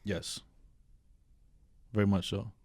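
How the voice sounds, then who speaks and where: Laid-back low-voiced New man. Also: fairly even intonation, slow, vocal fry, darkish tone, slightly rough, dry recording, studio quality. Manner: laid-back, hesitant, repeats words while thinking. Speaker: podcast co-host and DJ. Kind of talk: casual chat.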